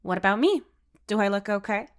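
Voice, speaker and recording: A woman's voice: mid-range voice, lively intonation, brisk, voice pushed up, close to an everyday voice. A woman voicing a feisty, sarcastic teenage girl in an audiobook story, snappy and easily irritated. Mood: brisk, curious. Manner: snappy, mocking.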